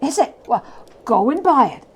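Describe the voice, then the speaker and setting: A woman's voice: high-pitched, big pitch swings, moderate pace. A woman playing a repentant old miser man in a dramatic storybook reading, stammering and exclaiming with overwrought emotion. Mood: giddy, overjoyed. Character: repentant old miser man, pleading then giddy with joy.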